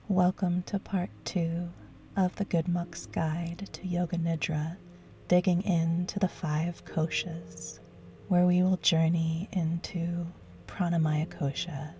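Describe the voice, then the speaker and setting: A woman leading a guided yoga nidra relaxation in a soothing, gentle, hushed and breathy voice. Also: lowish voice, flat delivery, slow, a little hiss or hum. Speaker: guided meditation teacher.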